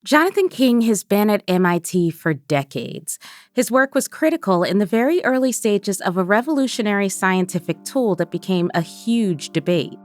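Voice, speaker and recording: A woman hosting a podcast, reading scripted narration with a clear storytelling delivery. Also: medium pitch, lively intonation, moderate pace, dry recording, studio quality, faint music behind. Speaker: podcast host.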